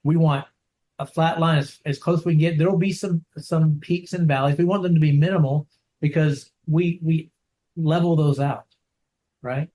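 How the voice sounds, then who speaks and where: Soft-spoken dog trainer man, rambling. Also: on the high side, fairly even intonation, moderate pace. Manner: soft-spoken, rambling with many restarts and "right?". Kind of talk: casual interview over video call. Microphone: video call.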